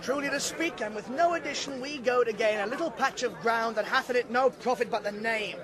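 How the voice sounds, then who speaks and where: An actor playing a plain-spoken, dutiful army captain in a Shakespearean radio drama, with blunt, projected delivery. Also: male voice, very high-pitched, a little fast, crowd noise. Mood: candid, scornful.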